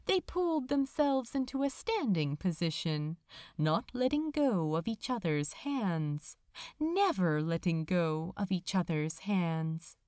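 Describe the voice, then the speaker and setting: Slow, expressive woman narrating a story. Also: lowish voice, dry recording, clean studio sound. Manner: dramatic, measured, lingering on phrases. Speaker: audiobook narrator of fan fiction.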